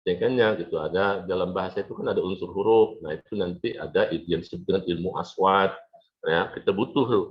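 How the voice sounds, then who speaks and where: Casting: male lecturer, low voice, informal and repetitive classroom delivery with check-in tags, muffled lo-fi recording. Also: brisk. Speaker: lecturer in an Arabic language course. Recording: dull lo-fi lecture audio.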